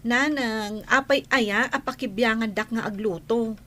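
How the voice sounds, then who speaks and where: A woman's voice: on the high side, unhurried, pushed and bright. A woman playing an assertive young daughter-in-law who defends her own ways in an Ilocano radio drama. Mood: indignant.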